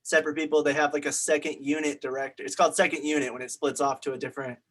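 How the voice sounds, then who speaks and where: Higher-voiced man explaining things casually. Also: flat delivery, racing, fairly bright tone. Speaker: film student in a group meeting.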